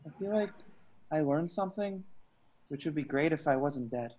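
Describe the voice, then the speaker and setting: A man reading the part of a hapless, earnest young slacker hero at an amateur table read, halting and excitable. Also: fairly high pitch, a little hiss or hum, close to an everyday voice. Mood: resigned. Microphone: video call.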